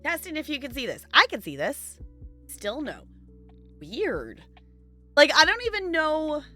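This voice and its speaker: A woman's voice: high-pitched, huge pitch swings, measured pace, pushed and bright, soft background music. A woman hosting a livestream, chatting casually with viewers and thinking aloud in a rambling way. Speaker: livestream host. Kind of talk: casual chat with live stream viewers.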